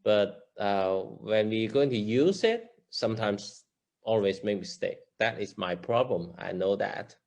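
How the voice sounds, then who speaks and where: A man whose first language is Chinese, practicing English in a lesson, hesitant and halting with many restarts. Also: on the low side, expressive, creaky. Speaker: English student. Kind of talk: lesson conversation.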